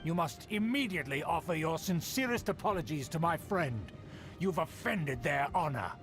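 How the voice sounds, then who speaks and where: An actor playing a haughty, scheming young aristocrat who talks in a pompous, indignant way. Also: male voice, on the high side, lively intonation, normal speed, lightly acted. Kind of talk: video game voice acting. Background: game score music.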